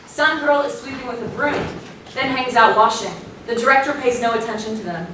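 A woman's voice: on the high side, a little fast. A woman giving a scripted narration of stage directions at a play reading. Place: small indoor venue with audience. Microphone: far camera. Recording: very echoey room, distant mic, noisy.

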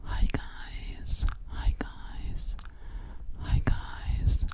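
A young woman speaks entirely in a soft, breathy ASMR whisper, pressed close to a foam microphone in a small bedroom. She talks extremely slowly, drawing out and repeating single trigger words again and again. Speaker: ASMR creator. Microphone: large foam mic held close to mouth.

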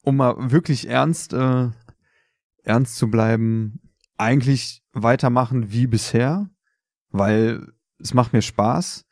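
A man co-hosting a podcast, chatting casually in a rambling, halting way with repeated words. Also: mid-range voice, no echo, clean studio sound. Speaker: podcast co-host. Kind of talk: casual chat.

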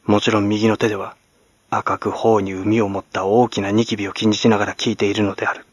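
A man from Kagoshima, Japan, narrating classic literature as a dramatic audiobook in a grave, measured manner. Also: on the low side, flat delivery, husky, no room sound. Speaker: actor, narrator. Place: at home, close and dry.

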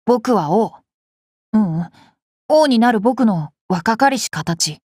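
A young boy king from an anime game, high and very animated in pitch. He is cheerful and curious, with a polite, playfully confident way of talking. The sound is close and dry. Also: slow, slightly rough, no room sound, exaggerated voice. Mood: thoughtful, candid. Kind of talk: Japanese anime game character lines.

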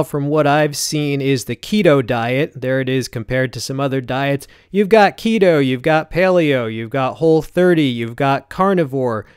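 A man's voice: on the high side, a little mellow, clear, resonant tone, no room sound, studio quality. A man in his forties talking casually to camera from his home gym, blunt and conversational, often tagging his points with "right?". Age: 40s. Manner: blunt, conversational, frequent "right?" check-ins. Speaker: fitness YouTuber.

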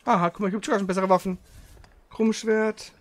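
A man's voice: sits high, little pitch movement, brisk, a crowd in the background. A man doing casual gaming commentary, thinking aloud in a slangy, grumbling way. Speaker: let's player. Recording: clear background noise.

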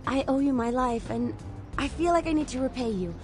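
A woman's voice: high-pitched, animated, a little fast, over music. A woman playing an eager, clingy young devotee in a video game, nervous and earnest as she pleads.

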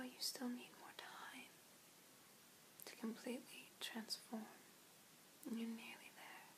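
A young woman in her twenties playing an ancient, protective vampire mentor in a whispered, soothing ASMR roleplay, with breathy, hushed delivery and long pauses. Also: on the high side, poor audio. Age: 20s. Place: small indoor room.

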